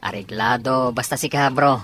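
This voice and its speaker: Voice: mid-range voice, normal speed, a bit bright, a little hiss or hum, natural voice. An actor with an Ilocano accent playing a male soldier, a supportive buddy, in a radio drama. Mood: eager.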